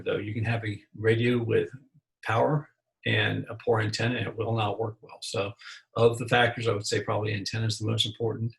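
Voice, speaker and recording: A man's voice: on the low side, creaky, low-quality recording. An American man giving a slide presentation lesson, explaining things plainly and methodically as a hobbyist presenter. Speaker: amateur radio presenter.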